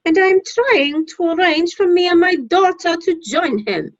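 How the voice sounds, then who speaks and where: A woman's voice: very high-pitched, lively intonation, measured pace, exaggerated voice. An amateur actress playing an apologetic, deferential cleaning woman in a radio play. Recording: muffled, lo-fi video call audio.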